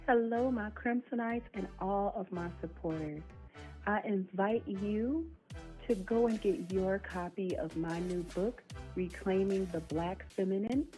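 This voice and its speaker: A woman's voice: mid-range voice, measured pace, dark tone. A woman host delivering a scripted promotional message to her followers. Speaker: channel host promoting own book. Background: background music.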